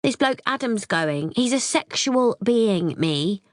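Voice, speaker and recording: A woman's voice: a little high, animated, slow pace, no echo, voice pushed up. Claire, a woman voicing a brash sarcastic sister. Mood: impatient. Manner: blunt, sarcastic, crude. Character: brash chatty young British woman, the narrator's sister.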